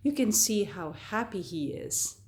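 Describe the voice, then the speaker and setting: Lady reading a fairy tale for kids, real slow and deliberate, voice goes up and down a lot. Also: medium pitch. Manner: deliberate, expressive read-aloud delivery addressed to children. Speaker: children's storyteller.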